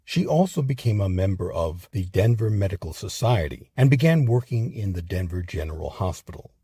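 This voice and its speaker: A man narrating a scripted history documentary in a measured, expressive storytelling manner. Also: sits low, husky.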